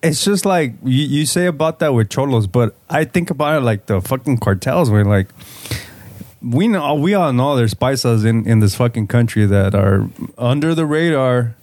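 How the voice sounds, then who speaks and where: A man speaking, recorded dry and close. His pitch sits in an ordinary male range. He talks like a podcast co-host telling stories to friends, full of swearing and bits of Spanish. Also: expressive, normal speed, darkish tone, no echo. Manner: slangy, heavy swearing, mixes in Spanish words. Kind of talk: casual chat, storytelling.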